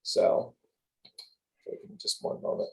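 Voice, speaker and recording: A man speaking as a prosecutor in a video call presentation, hesitant and restarting his sentences often. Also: slow pace. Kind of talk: webinar presentation.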